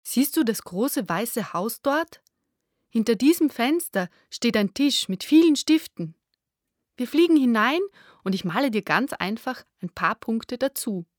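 A man voicing a kind, motherly female grasshopper in a children's story, in a gentle, raised voice. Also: animated, measured pace, no echo, studio quality. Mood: helpful. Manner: gentle, high put-on voice.

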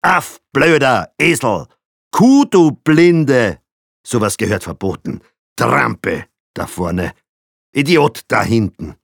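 A man voicing a fussy middle-aged father, switching between polite, gruff and baby-talk tones. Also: mid-range voice, sing-song, slow, dry recording, studio quality, voice pushed up. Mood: cursing.

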